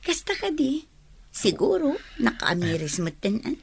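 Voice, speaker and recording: A woman's voice: fairly high pitch, very animated, slow, slight background noise, heavily acted. A woman acting a sympathetic, chatty young companion in a radio drama. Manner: conversational. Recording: clear music behind the voice.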